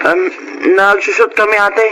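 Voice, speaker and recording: An actor playing an eager, cheerful Filipino schoolboy who loves to draw, in a radio drama. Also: male voice, sits high, little pitch movement, moderate pace, bright, forceful tone. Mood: nervous. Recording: noisy radio drama track.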